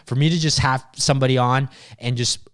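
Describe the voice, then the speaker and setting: A man from Mexico hosts a podcast in a casual, friendly tone, full of dude and like. He talks effusively at an average pitch. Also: fairly even intonation, a little fast, a bit bright. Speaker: podcast host.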